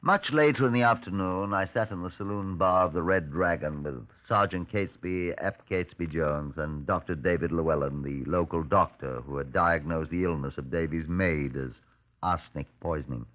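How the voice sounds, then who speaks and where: An actor playing a measured, courteous middle-aged British Scotland Yard superintendent, narrating and questioning in a 1950s radio drama. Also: male voice, low voice, lightly acted. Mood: reflective narrating. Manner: measured, polite, probing. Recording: muffled 1950s radio recording.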